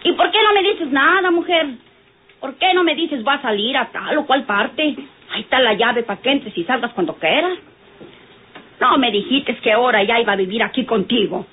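Nosy, scolding folksy Mexican woman played by an actress, high voice, vintage radio serial, faintly noisy thin sound. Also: lively intonation, normal speed, phone-quality sound, natural voice. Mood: indignant scolding. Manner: quarrelsome, folksy speech. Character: scolding, nosy, touchy working-class woman. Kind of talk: old Mexican radio drama acting.

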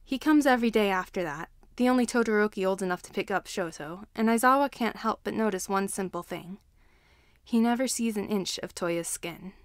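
Female story narrator, medium pitch, expressive scripted reading in a dry, echo-free space. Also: a little fast. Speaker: fan fiction narrator.

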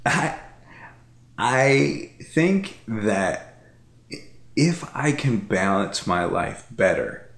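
A man's voice: on the low side, very animated, very slow, pauses often, fairly bright tone, echoey room. A man in his thirties chatting casually on a couch at home, rambling and repeating himself with lots of fillers. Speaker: vlogger. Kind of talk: casual chat. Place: living room couch at night.

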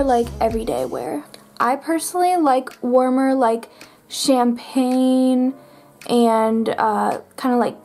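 A woman's voice: high-pitched, a little mellow. A teenage girl chatting through her makeup routine at her bedroom vanity, bubbly and full of "like", getting over a cold. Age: teens. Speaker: beauty vlogger. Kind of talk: makeup tutorial chat.

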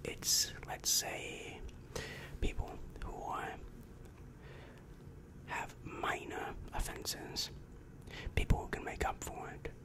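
A breathy whisper, close on the mic, carries a soothing demon counselor of hell, played by a young man. He explains things patiently at a very slow pace, calm and reassuring in the manner of an ASMR roleplay. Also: natural voice. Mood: patient, instructive. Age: young adult. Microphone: close-up microphone with foam windscreen.

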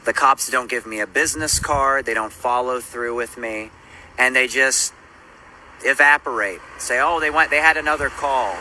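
A man in his thirties, in pain from an eye injury, recounting events in a subdued, halting way into a phone held at arm's length outdoors at night. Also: medium pitch, sharp tone, poor audio. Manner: subdued, weary, halting with fillers. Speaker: street preacher. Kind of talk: phone selfie video recap. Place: outdoors on a city street at night. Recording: phone audio with street noise. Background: street traffic noise.

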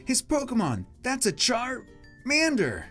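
A man's voice: very high voice, huge pitch swings, slow pace, fairly bright tone, faint music behind, pitched above the performer's own voice, heavily acted. A man voicing an eager, cocky young boy monster trainer in a comic manga reading. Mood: excited.

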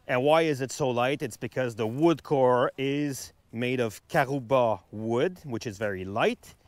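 A middle-aged man presents a product review outdoors. His voice sits at a medium pitch. He talks conversationally and with enthusiasm, leaning on key words for emphasis. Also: dry recording. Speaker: ski reviewer. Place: outdoors on a ski slope.

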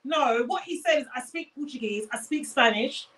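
A woman in her thirties, co-hosting a reality show reaction chat, animated and argumentative, often talking over others. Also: high voice, moderate pace, recorded from a distance, low-quality recording. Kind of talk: casual chat. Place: small indoor studio with a tropical beach backdrop.

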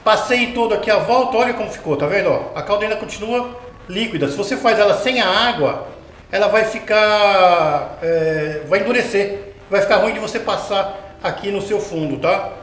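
Chatty high-voiced man cooking at home. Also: normal speed, a bit bright. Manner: chatty, explains patiently, often checks with the viewer. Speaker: home cooking show host. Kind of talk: step-by-step cooking demonstration. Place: home kitchen, indoors. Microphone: camera mounted overhead. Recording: echoey kitchen with light background noise.

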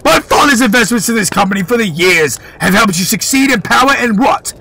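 A man reading aloud, voicing a hot-tempered young boy who shouts in high, shrill outbursts. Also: sing-song, a little fast, background noise, full character voice. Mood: furious.

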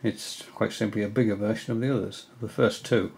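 An older man at home giving a hesitant, casual tutorial, often pausing with fillers as he shows his reels. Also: fairly low pitch, steady tone, gravelly, a little mellow, husky. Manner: hesitant, many fillers and restarts. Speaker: angling hobbyist giving a tutorial. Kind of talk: casual explanatory demonstration. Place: indoors, small room at home.

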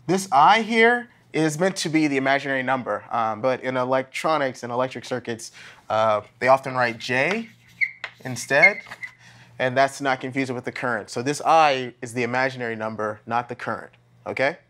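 A man's voice: medium pitch, normal speed, fairly bright tone, lightly acted. An American man in his thirties playing a blunt, earnest graduate teaching assistant instructing a class in a casual, plain-spoken way. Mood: instructive. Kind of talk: classroom instruction, acted dramatization. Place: classroom lab, indoors.

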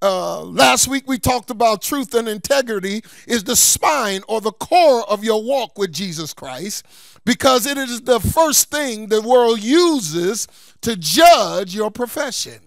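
A man's voice: sits high, lively intonation, slow, vocal fry, no echo. A man preaching a sermon as a pastor, emphatic and folksy, repeating key phrases for stress and slipping into colloquial asides. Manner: colloquial, folksy asides between scripture points.

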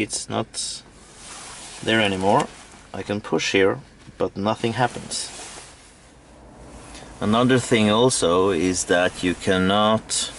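A middle-aged Norwegian man whose first language is Norwegian, speaking English with a Norwegian accent, explaining car features in a plain, slightly wry way from inside a moving car. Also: fairly low pitch. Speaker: car owner demonstrating features. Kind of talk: casual spoken walkthrough. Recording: loud road and car noise.

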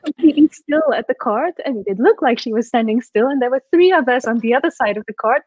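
A woman's voice: on the high side, animated, quick pace, no room sound. A woman from Singapore in her thirties introducing herself on a video call, telling stories about her sporting life with a self-deprecating touch. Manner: warm self-deprecating storytelling with light laughs. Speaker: course co-founder. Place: indoor room, video call. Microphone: laptop or webcam mic on video call. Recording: dull dry video call audio.

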